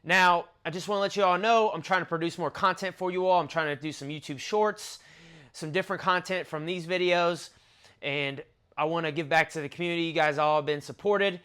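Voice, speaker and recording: A man's voice: fairly high pitch, a little fast, clear, resonant tone. A young man in his twenties with a stuffy, nasal voice, chatting casually through a fragrance review. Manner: chatty, conversational, lists notes in a relaxed flow. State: nasal from allergies.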